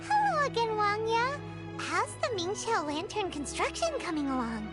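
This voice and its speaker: Tiny eager game sidekick, female: extremely high, squeaky, animated delivery over loud music. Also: moderate pace. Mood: chirpy, eager. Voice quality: squeaky, childlike. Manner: speaks of self in third person. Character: tiny chirpy childlike fairy girl companion, eager. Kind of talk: video game character acting.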